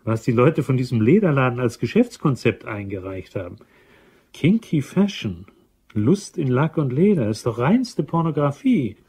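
Fussy, indignant male boss for crime radio play: very hoarse-edged, animated delivery. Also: mid-range voice, moderate pace. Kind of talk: German crime radio drama acting.

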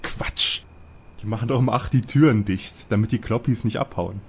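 A man playing an excitable, fretful teenage detective in an audio drama parody. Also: lowish voice, normal speed, slightly rough. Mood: casual.

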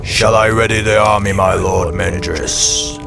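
An obedient, war-hungry demon henchman acted by a man reports in a deep gravelly voice. It is radio play acting with music loud around the words. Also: steady tone, unhurried. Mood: dutiful.

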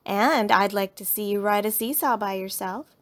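A woman voicing a calm mother bear in a storybook, reasoning in a measured, quiet, gently teasing way. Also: medium pitch, natural voice. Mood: gentle reasoning.

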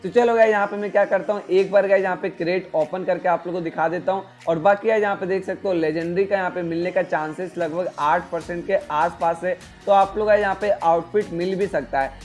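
A young man hosts a gaming explainer in a high-pitched voice. He talks straight to viewers, leaning on repeated filler phrases. Faint music plays behind him. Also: a little mellow. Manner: repetitive, filler-heavy address to viewers. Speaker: gaming video host. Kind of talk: explainer narration of game events. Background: background music.

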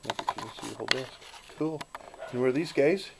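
A man giving a relaxed, folksy walk-through of a small backyard wooden workshop, filming on a handheld camera. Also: medium pitch, quick pace, creaky, heavy background noise. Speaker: channel host filming a shop tour. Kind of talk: casual narrated shop tour.

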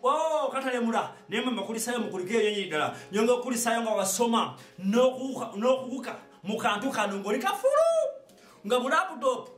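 High-pitched Ugandan man in an echoey room. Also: quick pace. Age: young adult. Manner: animated, exclaiming, repetitive. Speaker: host of an online TV show. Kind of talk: informal comic monologue to camera. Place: small indoor studio with padded backdrop. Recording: roomy echo, distant microphone, music behind.

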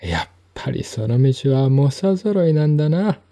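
A man's voice: a little high, animated, moderate pace, mellow, pitched above the performer's own voice. A man voicing a burly, thuggish lawyer in a mystery audio drama, smirking, falsely polite and brazenly familiar. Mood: smirking teasing.

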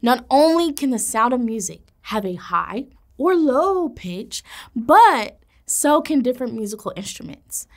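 A woman in her thirties, a teacher presenting a kids' educational video with an animated, encouraging manner. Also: on the high side, measured pace. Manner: animated, encouraging, gestures while speaking. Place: indoor classroom studio set.

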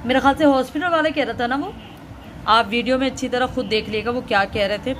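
A woman's voice: on the high side, fast talker, fairly bright tone. A woman vlogger chatting casually to her viewers as she shows them things at home. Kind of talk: casual home vlog chat. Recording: noisy background.

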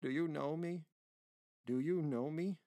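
Man voicing an earnest, emotional old gentleman. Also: a little high, unhurried, no room sound, studio quality, pitched above the performer's own voice. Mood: trembling hope. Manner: earnest, formal.

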